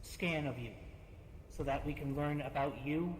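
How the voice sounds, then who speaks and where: A man playing a dutiful, earnest guild adventurer in an online roleplay, speaking formally and deliberately. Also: on the high side, lightly acted. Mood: earnest, reassuring. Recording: echoey room, faint noise, voice chat.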